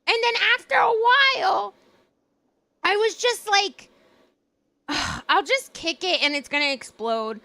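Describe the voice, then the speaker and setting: A young woman streaming live, chatting casually with a hoarse voice and telling an animated story full of laughs. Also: very high-pitched, takes it slowly, pauses often, no room sound. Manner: animated storytelling, laughs often, acts out quotes.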